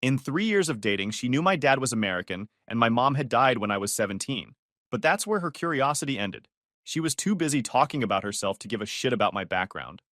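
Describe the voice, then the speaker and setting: A man tells his own story with wry sarcasm. His pitch rises and falls a lot as he speaks. The recording is close and dry, with no room sound. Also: sing-song, brisk, fairly bright tone, no room sound. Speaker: narrator. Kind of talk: first-person story narration.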